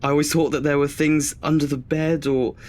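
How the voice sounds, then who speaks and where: A man's voice: fairly high pitch, flat delivery, fairly quick, darkish tone, close to an everyday voice. Actor doing nervy young student guy, hesitant n chatty, bit self-deprecating, audio drama. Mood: embarrassed, anxious. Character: nervous, chatty twenty-year-old male student afraid of the dark.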